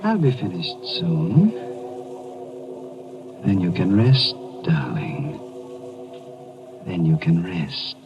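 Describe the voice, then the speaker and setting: A man's voice: sits low, huge pitch swings, very slow, long pauses, music in the background, heavily acted. A man playing a massive, jealous, cunning mad sculptor in an old radio thriller, intense and brooding, with theatrical menace. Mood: quietly menacing. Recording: muffled old radio recording.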